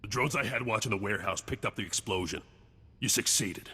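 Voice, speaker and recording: Low, husky, reverberant secret agent. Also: male voice, fairly quick, bright, forceful tone. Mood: satisfied. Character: clipped, world-weary male intelligence agent in space. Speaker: intelligence agent. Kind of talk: video game dialogue.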